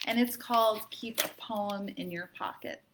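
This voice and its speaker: A woman hosting a children's storytime, reading poems aloud and chatting in an expressive, encouraging manner. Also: mid-range voice, normal speed, a little hiss or hum. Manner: expressive, encouraging, addressing young listeners. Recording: echoey, roomy sound.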